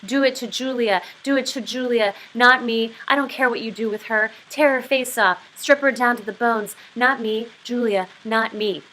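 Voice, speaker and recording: A woman's voice: fairly high pitch, steady tone, normal speed, few pauses, pushed and bright, slight background noise, pitched above the performer's own voice, poor audio. A woman reading aloud as a terrified man under torture, pleading frantically in a dramatic novel. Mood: frantic pleading.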